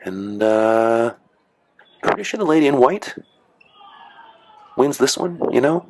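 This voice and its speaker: A man's voice: medium pitch, animated, takes it slowly, darkish tone, rough recording. A man casually narrating footage of matches he refereed, chatty and rambling with dry joking asides. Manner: rambling, chatty, frequent "you know" and "uh". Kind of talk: casual commentary.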